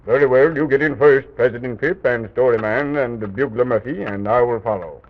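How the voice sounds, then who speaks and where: A man playing a courteous, patient castle guide in armor for an old children's radio serial, speaking formally and with measured explanation. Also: mid-range voice, natural voice. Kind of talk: 1920s-30s children's radio serial acting. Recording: tinny old radio recording.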